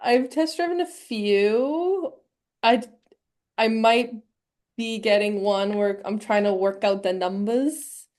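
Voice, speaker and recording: A woman's voice: a little high, slow, a little mellow. A woman co-hosting a casual podcast, teasing her friend and trailing off while she thinks. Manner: playful teasing, hesitant when thinking. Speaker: podcast co-host. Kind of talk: casual chat.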